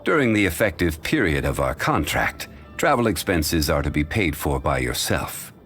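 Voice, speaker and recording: An actor voicing a dignified, ancient, learned man in a video game, speaking in a measured, formal and courteous way. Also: extremely low pitch, sing-song, fairly bright tone, faint music behind, exaggerated voice. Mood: calm, formal.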